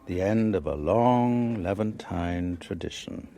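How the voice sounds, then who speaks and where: An actor in a radio drama playing a composed, skeptical interrogator, speaking in a deliberate, dry and pointed way. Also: male voice, sits low, dark tone. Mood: grave recounting. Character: probing, skeptical, composed male interrogator and narrator.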